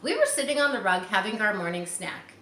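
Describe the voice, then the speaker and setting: A woman reading a children's chapter book aloud, animated, with chatty asides to young listeners. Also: mid-range voice, brisk, echoey room, a little hiss or hum. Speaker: reader.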